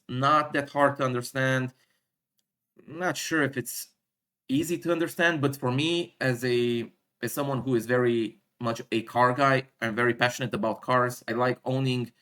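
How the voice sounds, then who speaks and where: A man from Romania, not an English speaker, giving an unscripted stock explainer with frequent fillers, self-corrections and throat clearing. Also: mid-range voice, a bit bright. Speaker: stock analysis YouTuber. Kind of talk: casual explainer monologue.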